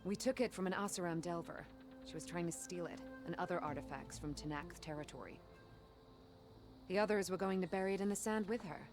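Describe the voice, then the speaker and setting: An actress plays a reverent warrior woman of a tribe for a video game. She sounds earnest and formal, with a slightly low voice. There is faint music behind her. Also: brisk, close to an everyday voice. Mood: grave. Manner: formal, grave.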